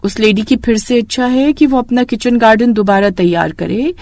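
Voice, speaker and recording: A woman's voice: on the high side, normal speed, darkish tone, dry recording, studio quality. A woman narrating a scripted moral story as its storyteller.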